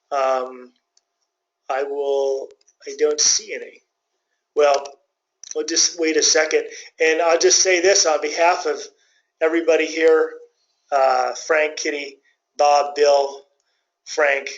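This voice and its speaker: The audio is a little dull and muffled, like a conference call. A man with a slightly high voice presents to clients as their financial advisor. He explains in a folksy, informal way, often pausing and rephrasing as he thinks aloud. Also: steady tone. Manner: folksy, conversational, thinking aloud with hesitant pauses. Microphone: conference call line.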